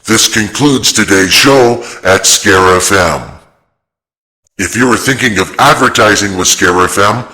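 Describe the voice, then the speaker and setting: Bright, forceful male station announcer. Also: on the low side, a little slow, poor audio.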